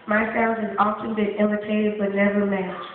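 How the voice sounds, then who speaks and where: Woman, steady school-play recitation, big echo. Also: medium pitch, normal speed, a crowd in the background. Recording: echoey hall, phone-quality audio.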